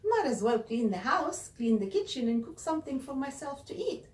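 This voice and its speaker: Sarah, a woman doing a shrill frantic girl. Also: very animated, fairly quick. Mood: resigned. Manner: high, breathless pleading. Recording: roomy, distant mic.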